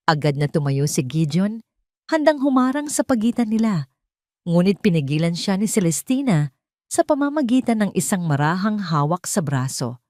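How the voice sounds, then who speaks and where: A woman's voice: mid-range voice, warm tone, no echo, clean studio sound. A woman with a Filipino accent reading a scripted dramatic story as its narrator.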